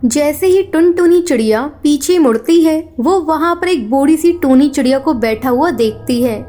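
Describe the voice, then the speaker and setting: A woman's voice: sits high, lively intonation, moderate pace, dark tone. A woman narrating a scripted children's moral story.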